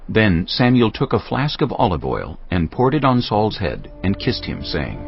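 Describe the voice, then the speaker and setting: A man narrating a dramatized Bible story in a measured, scripted storytelling style. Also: on the low side, wildly swooping pitch, gravelly, soft background music. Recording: slightly dull sound.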